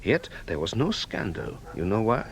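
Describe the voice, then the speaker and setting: An actor playing a shrewd, courteous detective in a radio drama, with a measured, formal, knowing manner. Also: male voice, on the low side, sing-song, creaky. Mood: confiding, measured.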